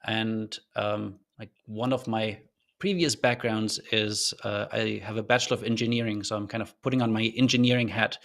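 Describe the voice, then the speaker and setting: A man's voice: fairly low pitch, vocal fry, a bit bright. A man with a faint German accent, a podcast guest telling his story in a thoughtful, earnest way with loose fillers and restarts. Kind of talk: reflective storytelling in an interview.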